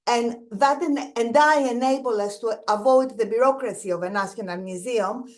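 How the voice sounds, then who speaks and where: A woman's voice: fairly high pitch, normal speed. A middle-aged woman with a Greek accent, giving an earnest, reflective presentation over slides and often restarting her phrases. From Greece. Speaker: guest speaker, museum director.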